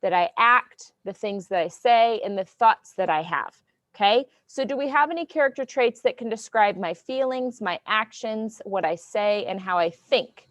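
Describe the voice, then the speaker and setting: Brief: dry-sounding woman teacher, loud and playful, keeps kids on track. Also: mid-range voice, moderate pace, no echo. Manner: loud and goofy, checks in with "okay?". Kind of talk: classroom lesson to children.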